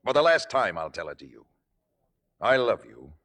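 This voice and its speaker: A devoted, exasperated lover in a classic film drama. His lowish voice swings widely, wry and emphatic. The sound has a long hall reverb. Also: big pitch swings, a little fast, a bit bright. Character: exasperated, devoted male lover of a temperamental actress.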